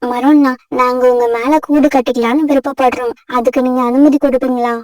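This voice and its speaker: A woman voicing a polite, sensible female sparrow who speaks as a gentle peacemaker in a children's cartoon. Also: sits high, fairly even intonation, a little fast, dry recording, studio quality, distant mic, lightly acted. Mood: polite pleading. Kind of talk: cartoon voice acting.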